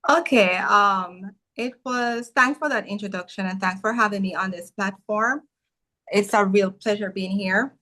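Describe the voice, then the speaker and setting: Bright-voiced Jamaican woman attorney. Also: mid-range voice. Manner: earnest, measured storytelling with frequent self-corrections. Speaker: immigration attorney, guest. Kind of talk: interview answers.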